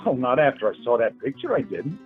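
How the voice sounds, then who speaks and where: A man acting a flustered, forgiving young suitor in a radio play, halting and gentle. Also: medium pitch, sing-song, breathy edge. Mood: relieved, fond. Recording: tinny narrow-band audio, faint music.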